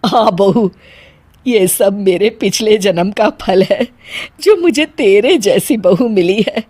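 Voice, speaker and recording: A woman's voice: medium pitch, animated, slow, a little mellow, husky, no echo. A woman voicing a melodramatic, stubborn middle-aged Indian mother in a cartoon moral story, with a dramatic, whiny delivery. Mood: pleased, doting. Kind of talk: animated moral story acting.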